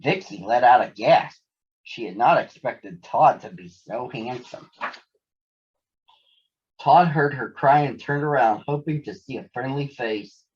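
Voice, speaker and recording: Guy reading a kids story, normal mid pitch, bit dull lo-fi sound. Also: measured pace. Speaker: storybook reader. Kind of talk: scripted narration of a children's story.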